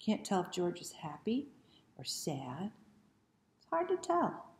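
A woman's voice: lowish voice, wildly swooping pitch, takes it slowly, darkish tone. A middle-aged woman reading a picture book to children as a library storyteller, often asking questions and inviting them to join in. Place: small room indoors. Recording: roomy, faint background noise.

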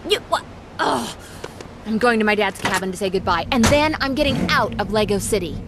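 A woman's voice: medium pitch, animated, brisk, heavy background noise, lightly acted. A woman voicing an indignant, exasperated young woman in a video game, with a scornful manner. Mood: angry, exasperated. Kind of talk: animated video game character acting.

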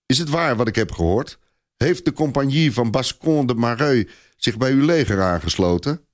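A man voicing a doubtful bridge commander in a storybook reading, with a booming, questioning delivery. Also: expressive, normal speed, lightly acted. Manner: questioning, wary. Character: heavy-voiced, doubtful male bridge commander. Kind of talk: audiobook character dialogue.

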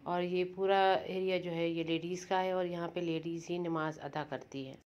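A woman casually narrating a tour of a mosque, pausing often with fillers and restarts. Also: on the low side, steady tone, darkish tone, highs cut off, slight background noise. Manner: hesitant, frequent "uh" fillers and restarts.

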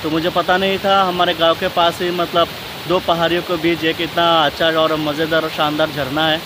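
A man's voice: fairly high pitch, little pitch movement. Chatty young man vlogging by a stream. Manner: chatty, repeats "दोस्तों" and "मतलब", addresses viewers. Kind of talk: casual travel vlog narration. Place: outdoors by a forest stream and picnic shelter. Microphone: phone held at arm's length. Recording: noisy outdoor phone recording. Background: rushing stream water.

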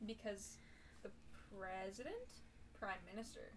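A woman's voice: medium pitch, a little slow, slight background noise, low-quality recording. A young adult woman co-hosting a podcast, chatting casually in a wry, giggly way. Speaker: podcast co-host. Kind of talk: casual chat.

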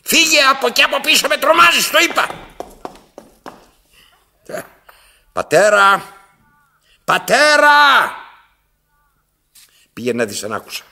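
An actor playing a domineering, self-pitying old blind man in a radio drama, raspy and gravelly, imperious and dramatic, repeating his phrases. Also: very high-pitched, big pitch swings, pauses often, bright, forceful tone, slight background noise, heavily acted. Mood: agitated, then demanding. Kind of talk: theatrical radio drama acting.

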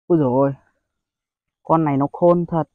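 A man's voice: fairly high pitch, steady tone, fairly quick, dry recording, narrow, tinny audio. A young man, chatting casually to viewers on an outdoor phone vlog, with lots of exclamations. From Vietnam. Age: young adult. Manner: chatty, addresses viewers as brothers, frequent exclamations. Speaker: vlogger. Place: outdoors among rice paddies and hills. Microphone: phone held at arm's length.

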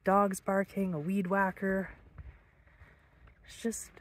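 A woman's voice: medium pitch, flat delivery, slow pace, dry recording. A middle-aged woman chatting casually and musingly to her phone as she films a hiking vlog outdoors. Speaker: hiking vlogger. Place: outdoors on hillside forest trails. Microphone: handheld phone at arm's length. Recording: outdoor phone audio with background noise.

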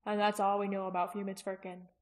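A woman voicing a calm, steady young male dragon trainer in an audiobook, talking evenly and soothingly. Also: medium pitch, natural voice. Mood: even, coaxing. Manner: even, crooning, measured.

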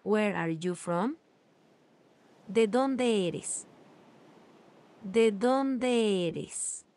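Woman, language drill reader, very slow, measured, mid pitch. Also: lively intonation, darkish tone. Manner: reads each phrase slowly with long pauses. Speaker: language teacher. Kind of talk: slow repeated phrase drills.

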